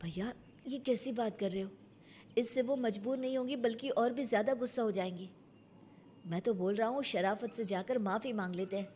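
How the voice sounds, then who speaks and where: A woman's voice: on the high side, fairly quick, a little mellow, slight background noise, lightly acted. A woman voicing a meek, conciliatory young husband in a cartoon moral story, speaking earnestly and pleadingly.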